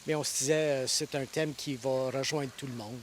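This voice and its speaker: A man in his sixties from Ontario, a priest answering interview questions thoughtfully, pausing and restarting often. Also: medium pitch, steady tone, slight background noise. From Ontario, Canada. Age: 60s. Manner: thoughtful, with frequent hesitations and restarts. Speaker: priest and guest preacher.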